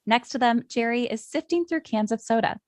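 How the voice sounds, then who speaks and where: A woman's voice: a little high, brisk, darkish tone, no room sound. Woman narrator, dry close sound. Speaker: stage directions reader. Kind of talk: scripted narration at a table read.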